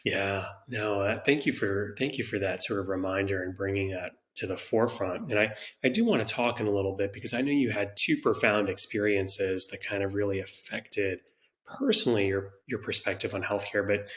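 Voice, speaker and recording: Thoughtful low-voiced male podcast host. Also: a little fast, fairly bright tone. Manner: thoughtful, restarts phrases while framing questions. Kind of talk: interview conversation.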